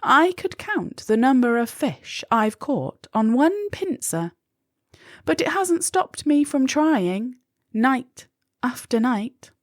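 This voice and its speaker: A woman's voice: high-pitched, sing-song, slow pace, dry recording, clean studio sound, pitched above the performer's own voice, heavily acted. A woman voicing a wise, kindly old male crab in a children's storybook, with folksy, reassuring talk. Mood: kindly, encouraging.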